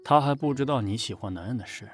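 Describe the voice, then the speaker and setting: A man's voice: mid-range voice, fast talker, faint music behind, close to an everyday voice. A man in an audio drama playing a possessive, jealous lover who is insistent yet tender, in a probing, intimate manner. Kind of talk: Chinese audio drama acting.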